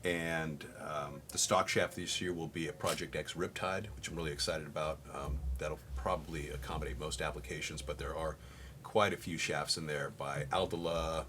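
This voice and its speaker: A man's voice: rumbling low, steady tone, moderate pace, sharp tone. A man representing a golf brand, explaining technical product details in a casual, conversational way. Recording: noticeable background noise.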